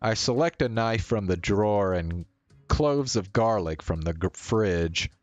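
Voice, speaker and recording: A man reading a visual novel aloud as a streamer, in measured narration with the odd stumble. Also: lowish voice, expressive, no echo. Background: faint music.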